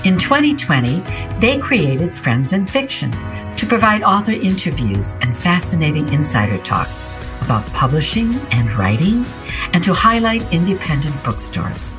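A woman co-hosting a book show in a polished, presentational way. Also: low-pitched. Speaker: author and show co-host.

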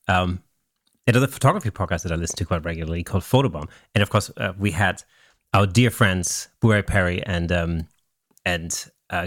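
A man hosting a casual podcast, German by birth, talking in an animated way with frequent laughs and restarts. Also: low voice, no echo, clean studio sound. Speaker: podcast host.

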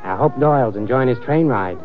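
Actor as a cold gangster boss, muffled radio. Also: male voice, mid-range voice, lively intonation, a little fast, lightly acted. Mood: menacing. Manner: clipped, sardonic. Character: cold, menacing gangster boss called the Colonel. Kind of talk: 1950s radio drama acting. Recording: muffled old radio recording with music.

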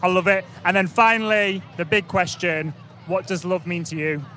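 A man's voice: high-pitched, steady tone, normal speed, pushed and bright, a faint crowd, slight background noise. A man hosting a podcast, interviewing people outdoors at a festival with enthusiastic energy. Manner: enthusiastic, repeats "big, big question". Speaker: podcast host. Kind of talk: upbeat vox-pop interviewing.

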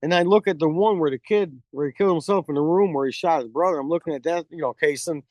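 High-voiced, profane rambling storyteller man. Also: fast talker, darkish tone, no echo. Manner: profane, rambling, acts out quotes. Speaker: paranormal investigator. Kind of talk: casual storytelling chat.

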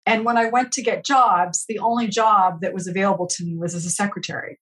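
A woman's voice: medium pitch, steady tone, brisk, a bit bright, poor audio. A middle-aged woman from California, a podcast guest speaking in an earnest, reflective way about her own life. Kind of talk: podcast interview, reflective storytelling.

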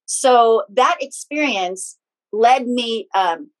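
A woman's voice: fairly high pitch, expressive, very slow, poor audio. Chatty woman telling her story. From Philippines. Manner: chatty, frank, personal anecdotes with small laughs. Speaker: guest, spiritual teacher and author. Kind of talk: casual conversational storytelling.

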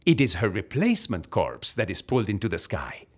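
An actor playing a proud, defensive agency underling in a comedy audio drama, a man with an Italian accent and a husky voice. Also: fairly low pitch, wildly swooping pitch, a little fast, full character voice. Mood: proud, dramatic.

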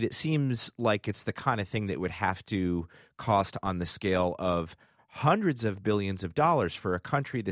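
A man's voice: low voice, no echo, studio quality. A man hosting a news interview, introducing guests and asking probing questions. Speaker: news host.

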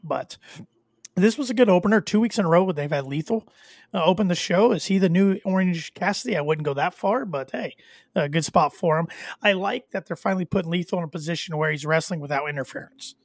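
Nasal-sounding podcast host with a cold. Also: male voice, sits high, a little fast, no room sound. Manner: chatty, rambling with filler words and restarts. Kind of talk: casual wrestling review chat.

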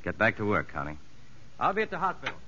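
An actor playing an earnest prison psychologist in a 1950s radio drama, measured and probing. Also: male voice, on the low side, huge pitch swings, background noise. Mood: firm. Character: earnest male prison psychologist, narrating and talking with convicts. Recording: dull vintage radio recording, music underneath.

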